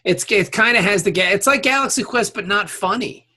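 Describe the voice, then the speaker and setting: A man's voice: high voice, fairly quick, sharp tone, a little hiss or hum. A man co-hosting a podcast in casual chat, talking bluntly and informally. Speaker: podcast co-host.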